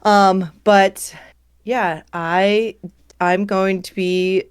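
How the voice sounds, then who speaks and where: A woman cosplayer getting over a cold, her voice hoarse, chatting in a rambling, laughing way on a video call. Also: medium pitch, steady tone, drawn-out words, no room sound, studio quality. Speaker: cosplayer guest. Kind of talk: casual chat on a video call.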